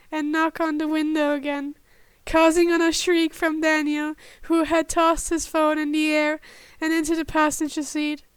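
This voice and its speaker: A woman's voice: sits high, no room sound. A woman reading fan fiction aloud as an amateur narrator, now and then stumbling and correcting herself. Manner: stumbling over words, self-correcting. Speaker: podfic narrator.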